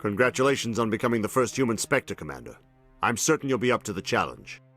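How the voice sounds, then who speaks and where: A man's voice: on the low side, big pitch swings, fast talker, a bit bright, faint music behind. A man playing a frustrated senior military admiral in a video game, formal, weary and blunt in his delivery. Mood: formal, approving.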